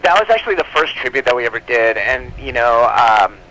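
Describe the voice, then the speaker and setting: A man's voice: medium pitch, big pitch swings, brisk, sharp tone, low-quality recording. A man speaking as an interview guest in a casual, rambling and giggly way. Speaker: comic book publisher, interview guest.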